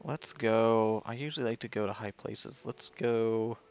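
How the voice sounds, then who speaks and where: A man's voice: lowish voice, steady tone, unhurried, clean tone, lo-fi sound. A man streaming a video game, giving casual live commentary and thinking aloud as if talking to himself. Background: video game music.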